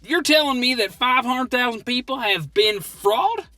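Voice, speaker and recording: A man with a rural American accent co-hosting a comedy podcast, bantering in a folksy, excitable, argumentative way. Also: extremely high pitch, a little slow, dry recording, soft background music. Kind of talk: comic banter.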